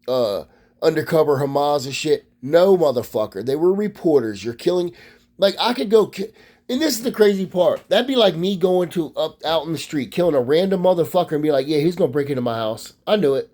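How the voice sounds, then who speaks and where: A man's voice: a little high, expressive, fairly quick, a little mellow. A man from Maryland, USA, delivering a profane, rambling casual monologue as a vlogger.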